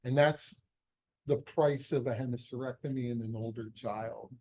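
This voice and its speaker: A man's voice: medium pitch, fairly even intonation, normal speed, mellow. A middle-aged American man giving a conversational medical lecture, explaining emphatically and posing rhetorical questions. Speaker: physician lecturer, pediatric epilepsy surgeon.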